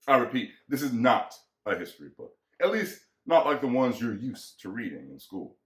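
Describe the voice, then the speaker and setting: A man's voice: medium pitch, sing-song, moderate pace, a bit bright. A man giving an emphatic dramatic book reading, addressing the listener directly. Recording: echoey room.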